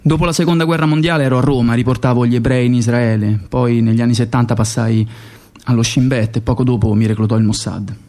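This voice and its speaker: Deliberate, cold mercenary commander played by a man. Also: mid-range voice, fairly even intonation, darkish tone, close to an everyday voice. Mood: cold, self-assured. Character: cold mercenary Mossad commander, boastful and matter-of-fact. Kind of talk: radio theatre monologue.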